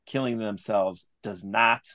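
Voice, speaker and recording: A man speaking as a writer interviewed on a podcast, thoughtful and searching, piecing his sentences together in halting phrases. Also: lowish voice, steady tone, a bit bright, no room sound. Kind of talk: reflective interview talk.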